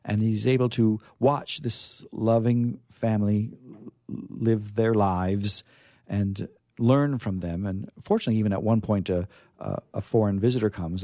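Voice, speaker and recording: A man's voice: fairly low pitch, mellow, dry recording, studio quality. A man hosting an informal literary discussion, speaking in a hesitant, thoughtful way with repetition for emphasis. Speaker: host.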